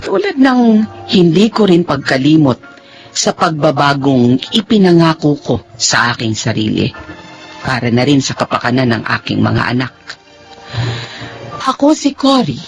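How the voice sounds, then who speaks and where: An actress playing a remorseful middle-aged mother in an emotional, halting radio drama style. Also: deep voice, sing-song, soft background music. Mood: sorrowful, reflective. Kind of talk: radio drama acting and first-person narration.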